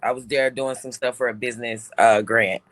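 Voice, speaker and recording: A man's voice: medium pitch, steady tone, fairly quick, fairly bright tone, no room sound. A man co-hosting a casual talk show, telling a personal story with lots of restarts and fillers. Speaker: show co-host. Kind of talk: casual podcast chat.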